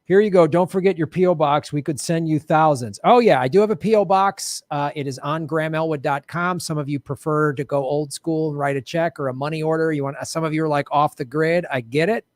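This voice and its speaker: A man hosting a live political show from a studio with bright lights, chatting casually and joking in a playful, self-mocking way. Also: a little high, brisk, few pauses, dry recording. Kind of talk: casual livestream chat.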